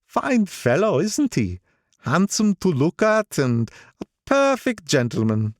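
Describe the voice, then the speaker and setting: A gloomy, sentimental old gangster who drags out his words with heavy, brooding weight, as a man puts him on. His voice rides much higher than the reader's own and swings widely in pitch. The sound is close and dry. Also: huge pitch swings, no room sound, full character voice. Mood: admiring. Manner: slow, heavy, brooding delivery. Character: older male gangster, gloomy, sentimental, boastful. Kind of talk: audiobook character voice.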